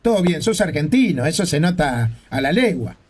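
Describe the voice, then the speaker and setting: A man's voice: a little high, big pitch swings, moderate pace, darkish tone. A man with a Rioplatense Spanish accent hosting a religious debate, arguing his points with rhetorical questions. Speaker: debate host.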